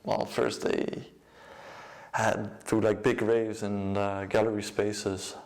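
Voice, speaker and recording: A young man with a Dutch accent, a music producer and DJ, giving a casual, low-key account of his work. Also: flat delivery, slow pace, vocal fry, darkish tone. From Netherlands.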